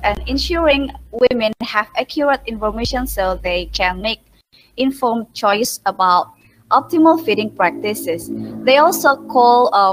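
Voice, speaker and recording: A woman's voice: fairly high pitch, rough recording. Indonesian woman presenting over a video call. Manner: halting, with fillers and restarts, polite and grateful. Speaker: midwifery lecturer and researcher. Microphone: laptop webcam mic on a video call.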